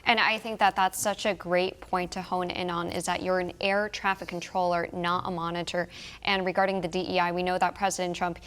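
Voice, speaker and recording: A woman's voice: lowish voice, level tone, brisk, a bit bright, smooth. An American woman working as a news interviewer, speaking in an emphatic yet conversational broadcast manner.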